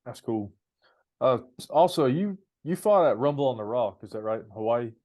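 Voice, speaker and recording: A man's voice: medium pitch, expressive, moderate pace, darkish tone. A man hosting a podcast, asking questions in a casual, conversational interview style.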